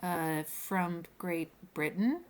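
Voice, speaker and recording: A woman's voice: fairly low pitch, lively intonation, extremely slow. A woman voicing a wry, puzzled young male hero from a storybook, with a questioning manner.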